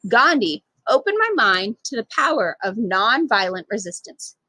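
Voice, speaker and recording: A woman's voice: mid-range voice, moderate pace, dry recording, poor audio. A woman reading a children's picture book aloud as a storyteller, expressively acting out the dialogue. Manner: animated, acts out dialogue.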